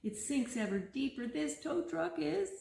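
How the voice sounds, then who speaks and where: A woman reading a rhyming picture book aloud to children, expressively, pausing for a child to join in. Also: sits high, creaky, a little hiss or hum. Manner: expressive, prompting a child to fill in rhymes. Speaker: story reader and co-host.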